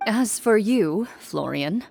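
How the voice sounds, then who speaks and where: A woman voicing a cool, composed duelist in a video game, speaking with calm, formal authority and fairness. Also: mid-range voice, takes it slowly, vocal fry, a little hiss or hum. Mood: cool, measured.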